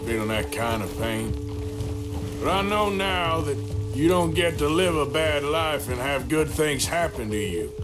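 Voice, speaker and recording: Weary Old West outlaw, game cutscene: rural American drawl, very unhurried, plain-spoken and regretful, over clear underscore. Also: male voice, fairly high pitch, lively intonation. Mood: sorrowful, regretful. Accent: American, rural Western drawl. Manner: slow, plain-spoken, subdued. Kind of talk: naturalistic dramatic video game acting. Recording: dramatic music behind the voice.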